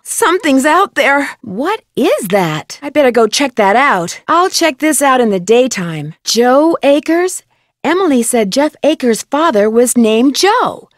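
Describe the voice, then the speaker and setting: A woman playing a curious, plucky young detective in an adventure game, thinking aloud in short, clearly spoken remarks. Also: high voice, no room sound, clean studio sound. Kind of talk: scripted adventure game voice lines.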